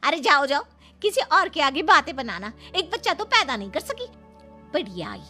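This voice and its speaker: A woman's voice: high voice, very animated, moderate pace, bright, forceful tone, faint music behind, exaggerated voice. A woman playing an insolent young maid turned wife in a family cartoon, haughty, taunting and wheedling. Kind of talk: Hindi family cartoon acting.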